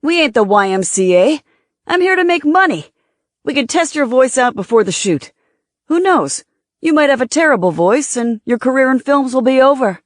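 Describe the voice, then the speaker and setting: Woman acting a male movie tycoon, angry, blustering, slangy, pitch thrown upward, dry audiobook sound. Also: lively intonation, moderate pace, dry recording. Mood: angry, taunting. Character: brash, angry male film mogul with a cigar.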